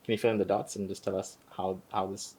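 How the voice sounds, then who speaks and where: A man's voice: deep voice, little pitch movement, quick pace, a little mellow, husky. Hesitant male podcast host. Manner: hesitant, many "um"s and restarts. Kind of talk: podcast interview.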